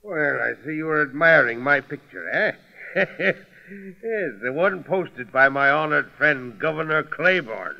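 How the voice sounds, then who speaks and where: Suave pirate villain, taunting, mock-polite, swooping pitch, old radio drama, dulled vintage sound. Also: male voice, lively intonation, unhurried, gravelly. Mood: mocking amusement. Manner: mock-courteous, taunting, chuckling. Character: swaggering, mocking male pirate boss, suavely menacing. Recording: muffled vintage radio recording.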